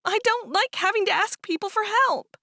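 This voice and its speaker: A woman's voice: very high-pitched, animated, normal speed, bright, forceful tone, no echo, clean studio sound, voice pushed up, full character voice. A woman reading aloud and voicing an upset, stubborn teenage farm girl who talks in a sulky, indignant way.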